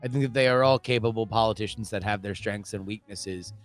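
A man's voice: fairly low pitch, a little fast. Man, podcast co-host, casual chat, stammers now and then, emphatic bursts, faint music underneath. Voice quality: stammers at times. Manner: animated, talks himself into emphatic bursts.

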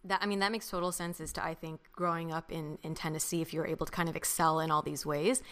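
A woman's voice: deep voice, flat delivery, fast talker, fairly bright tone. A woman hosting a podcast who asks casual interview questions and murmurs agreement. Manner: brief affirming murmurs. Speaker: podcast host.

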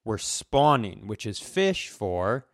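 A man's voice: on the low side, slow pace, no room sound, clean studio sound. A middle-aged man co-hosting a comedy podcast, telling stories casually, swearing freely and acting out quotes. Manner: animated retelling with acted quotes, casual swearing. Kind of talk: casual comedic storytelling chat.

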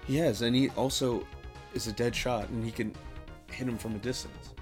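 A man co-hosting a podcast in casual, slangy banter, debating in an animated way. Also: medium pitch, normal speed, soft background music. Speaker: podcast co-host.

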